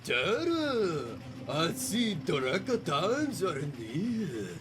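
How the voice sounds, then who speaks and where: A man reading character dialogue aloud with a theatrical, drawn-out delivery. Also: high-pitched, huge pitch swings, noisy background.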